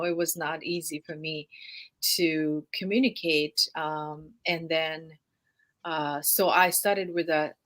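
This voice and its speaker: A woman's voice: on the low side, a bit bright. A woman from Japan with a Japanese accent, a guest telling her story on a video call, chatty with frequent "you know.". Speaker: guest, healing practice owner. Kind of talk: casual interview answers.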